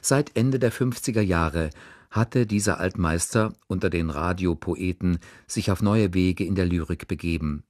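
A man's voice: sits low, a little mellow, no room sound, studio quality. A man presenting a radio broadcast, reading a scripted introduction in a formal, informative way.

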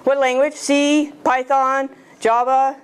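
A woman's voice: high voice, little pitch movement, slow pace. Fifty-something lady talking to a club on a handheld mic, keeps quizzing the crowd, joking around. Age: 50s. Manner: chatty, jokey, asks the audience questions. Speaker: guest speaker. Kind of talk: casual talk to a club audience. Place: small lecture room.

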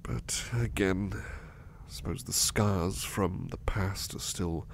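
Slow, creaky-voiced gentle servant played by a man. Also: sits low, slight background noise, heavily acted. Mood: wistful. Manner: hesitant, stammering, often repeating words. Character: gentle, devoted, anxious male servant, sleepy after nightmare. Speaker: roleplay voice performer. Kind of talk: ASMR roleplay, soft personal address.

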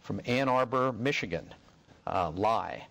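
Older man reading questions, fairly low voice. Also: lively intonation, a little slow, vocal fry, a bit bright. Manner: reads names and places before each question. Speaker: moderator reading audience questions. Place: indoor studio before a backdrop.

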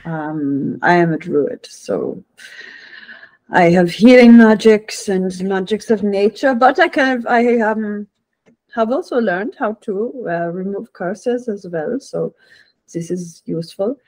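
A woman's voice: mid-range voice, slow, darkish tone. A woman talking casually as a player in a tabletop roleplaying game over a video call.

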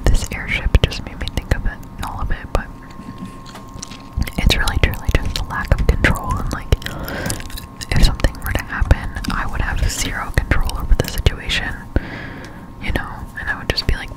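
Young female ASMR gamer: breathy close-up whisper, unhurried, casual and self-deprecating rambling over gameplay. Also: rough recording. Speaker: gameplay streamer. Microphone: close-up studio USB microphone.

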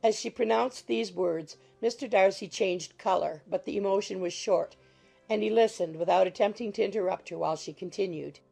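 A woman narrates literary fiction in a heavily creaky, soft-toned voice. It is husky and measured as storytelling, a scripted audiobook read. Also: mid-range voice, faint music behind.

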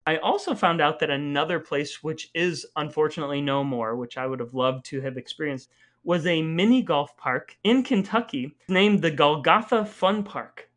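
Man co-hosting a podcast, slightly high voice, wry playful storyteller. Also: moderate pace, a bit bright. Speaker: podcast co-host. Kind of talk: casual chat with scripted segments.

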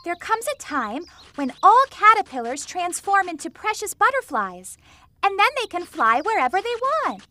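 Very high, knowing cartoon animal. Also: female voice, sing-song, moderate pace, pushed and bright, faint music behind, exaggerated voice. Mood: enthusiastic. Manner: explanatory, grandly enthusiastic. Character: knowing, enthusiastic animal friend in kids' cartoon. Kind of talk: children's cartoon acting.

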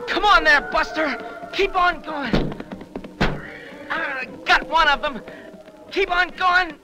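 A man's voice: extremely high pitch, slow pace. An actor playing a determined, weary teenage boy rider in an old Western radio drama. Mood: urgent, shouting. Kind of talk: 1930s radio drama acting. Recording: old radio broadcast with music.

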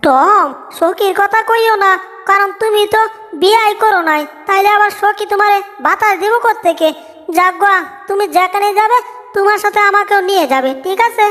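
A woman's voice: extremely high pitch, fairly quick, fairly bright tone. A woman voicing a small, cheeky, feisty male cartoon mouse with a pert, complaining manner in a Bengali comic dub. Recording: long reverb over background music.

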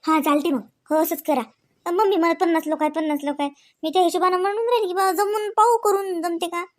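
High-pitched chatty housewife, Varhadi accent, acted by a woman. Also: steady tone, fast. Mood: worried. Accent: Varhadi Marathi of Vidarbha. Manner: chatty, homely, coaxing. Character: chatty, practical young housewife and mother in a comedy cartoon. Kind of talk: colloquial domestic comedy cartoon dialogue.

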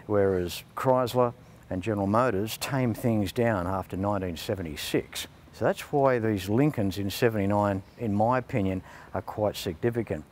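A man's voice: mid-range voice, a little mellow, a little hiss or hum. An older Australian man hosts a car show from a large indoor showroom, wearing a lapel mic. He talks in a chatty, enthusiastic way about the cars. Faint room ambience sits behind his voice. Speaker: host.

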